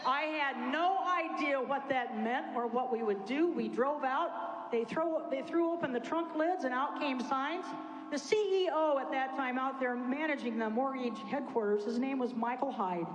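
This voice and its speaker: Casting: older woman from Iowa, high bright voice, animated storyteller, long hall reverb. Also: moderate pace. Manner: animated storyteller, acts out quotes. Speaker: panel speaker. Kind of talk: personal story at a public event.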